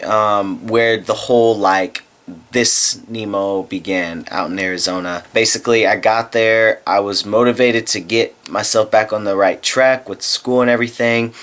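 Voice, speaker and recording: Low-voiced young man vlogging from bed. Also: fairly bright tone. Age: 20s. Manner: rambling, candid, self-deprecating. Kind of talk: casual vlog chat to camera. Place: bedroom, lying in bed. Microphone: handheld camera mic.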